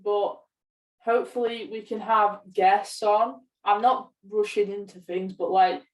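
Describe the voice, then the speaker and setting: A woman's voice: mid-range voice, fairly even intonation, measured pace. A young woman talking casually as a co-host on a podcast. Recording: roomy, distant from the mic.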